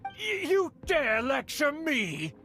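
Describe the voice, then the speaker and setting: An actor playing a haughty older aristocratic uncle who scolds with theatrical outrage in a video game. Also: male voice, very high-pitched, wildly swooping pitch, slow, pushed and bright, faint music behind, heavily acted. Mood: outraged. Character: pompous older aristocratic uncle, blustering and indignant. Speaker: scheming family elder.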